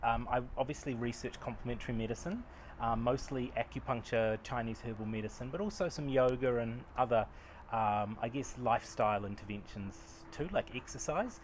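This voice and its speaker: A New Zealand man in his forties answers interview questions in a loose, self-deprecating way, full of ums and restarts. His voice sits at a middle pitch. He is on an outdoor veranda with a lapel mic, with a faint hum of outdoor noise behind him. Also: a little fast. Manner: relaxed, self-deprecating, many fillers. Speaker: acupuncturist and researcher.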